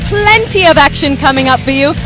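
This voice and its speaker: Upbeat high-voiced woman presenter. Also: expressive, fairly quick, over music. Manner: upbeat, announcing. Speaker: trackside presenter. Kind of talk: broadcast presenting.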